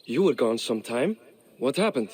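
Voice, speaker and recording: A wry, weary Viking lord. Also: male voice, mid-range voice, sing-song, moderate pace, a little mellow, slightly rough. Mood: rueful. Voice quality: rueful, dryly joking. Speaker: Viking king of Dublin. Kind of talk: video game cutscene acting.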